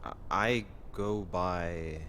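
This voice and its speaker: A man's voice: low-pitched, drawn-out words, sharp tone, a little hiss or hum, full character voice. A man playing a hapless, confused male lost soul in an audio drama, with a flustered, stammering delivery. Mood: bewildered.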